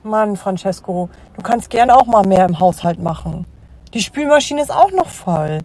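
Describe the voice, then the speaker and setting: An actress playing a sensible, mildly nagging mother and wife in a children's radio play, with a husky voice. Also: mid-range voice, lively intonation, normal speed, a little mellow, no room sound, natural voice, rough recording. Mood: reproachful. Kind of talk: German children's audio drama acting.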